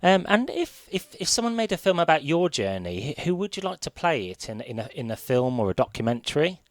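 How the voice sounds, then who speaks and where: A British man asking questions as a radio interviewer in an informal, halting, hesitant way. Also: a little high, very animated, no echo, clean studio sound.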